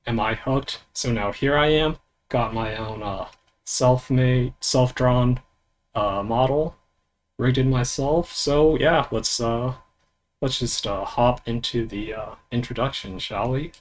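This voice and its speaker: A young man at a home mic, talking in an easygoing, rambling way with lots of ums and restarts. His voice sits at an ordinary middle pitch. A faint hiss of room noise sits under it. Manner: rambling, halting with many fillers and restarts; laid-back, swears casually. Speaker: VTuber streamer. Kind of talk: casual self-introduction stream.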